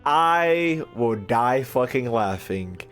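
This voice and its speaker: A man's voice: mid-range voice, animated, slow, a bit bright, soft background music. A young man doing casual, slangy, profane reaction commentary as a gaming streamer, riffing and repeating himself.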